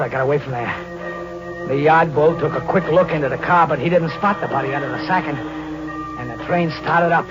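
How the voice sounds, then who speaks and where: An actor playing a panicky, brooding small-time American criminal on the run in a 1940s radio drama, halting and slangy. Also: male voice, a little high, lightly acted. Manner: tense, halting, slangy delivery. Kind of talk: 1940s radio drama first-person narration and acting. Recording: muffled vintage radio recording with music behind.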